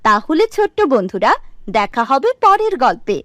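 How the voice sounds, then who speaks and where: A woman's voice: a little high, very animated, normal speed, bright, forceful tone, no room sound. A woman reading scripted narration for a children's moral cartoon story. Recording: dry voice over faint music.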